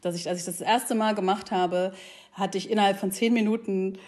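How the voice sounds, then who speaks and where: A woman in her forties talking reflectively, often pausing with fillers as she searches for words and mixing in English phrases. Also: mid-range voice, steady tone, a little mellow. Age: 40s. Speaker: guest. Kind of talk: casual conversation.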